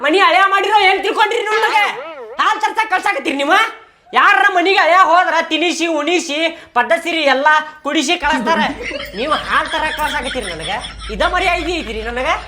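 A woman's voice: extremely high pitch, fairly quick, sharp tone. A woman voicing a touchy son-in-law who grumbles and takes offense at everything, in a North Karnataka Kannada accent. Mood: grumbling. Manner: indignant, complaining, sarcastic laughs. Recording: noisy background.

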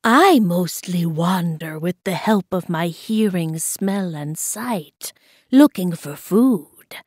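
A woman voicing a calm female lynx, a wise wanderer of the wilderness in a children's story, with a slow, purring delivery. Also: on the low side, no room sound, studio quality. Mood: helpful, unhurried.

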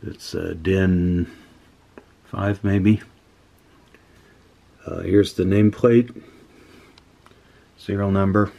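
A man casually demonstrating old equipment at a workbench, unhurried and thinking aloud with many fillers. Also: sits low, level tone, gravelly, warm tone. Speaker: electronics hobbyist host. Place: workbench room indoors.

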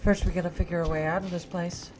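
A woman playing an earnest, gentle young Jedi on the run in a video game, speaking in a hesitant, reassuring way. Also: on the low side, flat delivery, fast talker, over music, lightly acted, rough recording. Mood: gentle, encouraging. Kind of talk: cinematic video game dialogue.

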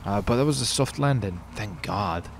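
A man's voice: lowish voice, expressive, moderate pace, a little hiss or hum. A man commentating casually on his gameplay as a streamer, with slangy, sweary talk. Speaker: streamer hosting a gaming video.

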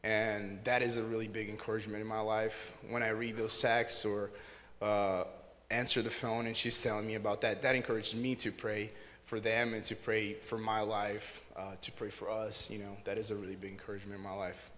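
A man's voice: lowish voice, fairly quick. Young man from Brazil in a church hall. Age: 20s. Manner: earnest, relaxed storytelling with fillers. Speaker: interview guest. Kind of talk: casual testimony on stage. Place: church stage before an audience. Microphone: handheld mic.

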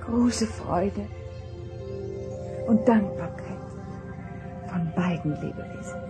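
An actress playing a gentle, awed empath starship officer in a radio play, with a hushed, emotional delivery. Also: female voice, mid-range voice, takes it slowly, music in the background, natural voice.

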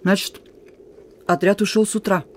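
An actress playing a plucky young partisan courier in a radio drama, speaking in a familiar, teasing, warm-hearted way. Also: female voice, medium pitch, fairly even intonation, moderate pace, slight background noise, lightly acted. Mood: gentle, concerned. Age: young adult.

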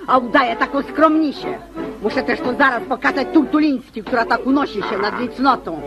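Radio play, indignant scheming mother, very high voice, gossipy, dull sound, loud music behind. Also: a little fast. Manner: gossipy, scornful. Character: indignant, nosy, scheming matchmaking mother of unmarried daughters. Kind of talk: theatrical radio play acting.

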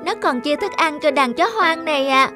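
A woman playing a kind-hearted young female dog owner in an animated moral tale, speaking earnestly. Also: high voice, moderate pace, music in the background. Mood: amazed, tender.

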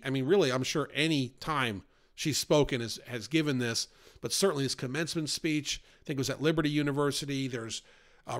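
A man teaching scripture in a relaxed, off-the-cuff way, as if on a podcast. His voice sits at an ordinary middle pitch and climbs when he stresses a point. He stays earnest throughout. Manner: earnest and conversational, rises in pitch for emphasis. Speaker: Bible study host. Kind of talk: informal Bible teaching.

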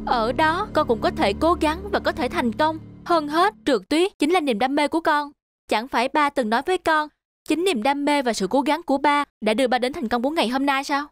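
A woman's voice: high voice, lively intonation, brisk. A woman voicing an earnest, determined teenage boy in a cartoon, polite and pleading. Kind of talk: cartoon dubbing. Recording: echoey voice under loud music.

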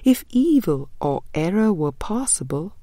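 A woman reading a spiritual book as an audiobook narrator, measured and deliberate, with frequent pauses. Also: on the low side, sing-song, dark tone, no echo. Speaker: audiobook reader.

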